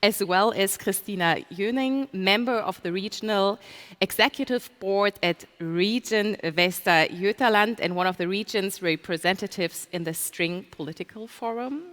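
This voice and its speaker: A woman's voice: mid-range voice, normal speed, a bit bright. A woman hosting a panel discussion as conference moderator. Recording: echoey hall reverb.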